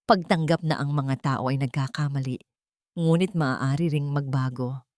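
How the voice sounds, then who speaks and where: A woman narrating a scripted sentimental story in a measured, earnest way. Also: extremely low pitch, steady tone, darkish tone, no echo, clean studio sound. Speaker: storyteller, narrator of audio drama. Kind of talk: scripted dramatic first-person narration.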